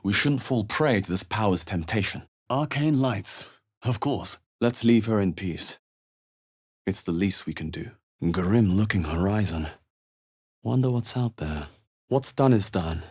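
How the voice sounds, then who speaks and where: A man playing a wary, thoughtful fantasy adventurer in a video game, musing aloud in a measured, dramatic way. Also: fairly low pitch, breathy edge. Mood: musing, watchful. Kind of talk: video game voice acting, short exploration remarks.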